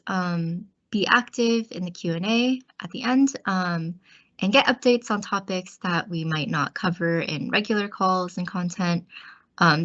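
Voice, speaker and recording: A woman's voice: mid-range voice, a bit bright. A woman hosting a community video call, friendly and upbeat, with many ums. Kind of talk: casual meeting hosting. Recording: muffled video call audio.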